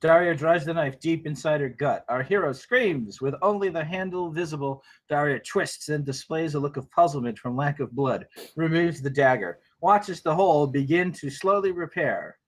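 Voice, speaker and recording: A man's voice: on the high side, animated, moderate pace, hardly any pauses, poor audio. A man narrating a screenplay table read, reading action lines aloud informally with wry asides.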